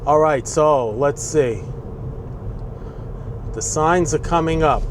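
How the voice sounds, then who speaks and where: A man's voice: a little high, takes it slowly. A man in his sixties with a New York accent, chatting casually from his car in city traffic, opinionated and rambling. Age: 60s. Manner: opinionated, rambling, grumbling asides. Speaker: vlogger. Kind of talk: casual chat while driving. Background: faint traffic noise.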